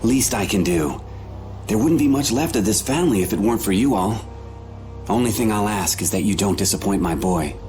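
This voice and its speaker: A man's voice: lowish voice, expressive, fairly quick, darkish tone, faint music behind, lightly acted. A man playing a helpful, cautious family man in a video game, with a plain-spoken, folksy manner. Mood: grateful.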